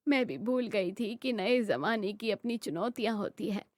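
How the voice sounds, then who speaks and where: A woman's voice: mid-range voice, expressive, moderate pace, a little mellow, dry recording, natural voice. Stern older mother-in-law for a family cartoon, woman performer; stubborn, authoritative, recorded dry. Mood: remorseful. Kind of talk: Hindi animated family drama acting.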